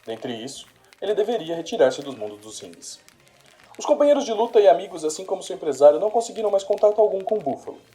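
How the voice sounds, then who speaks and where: A man's voice: sits high, big pitch swings, fast, mellow. A man with a Brazilian accent playing a formal, declamatory 1930s radio news announcer in an audio drama. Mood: formal, reporting. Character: male radio news announcer reporting a boxer's retirement.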